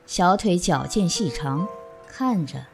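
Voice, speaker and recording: A woman's voice: on the low side, lively intonation, slow, creaky, faint music behind. Woman narrating an audio drama. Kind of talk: scripted narration.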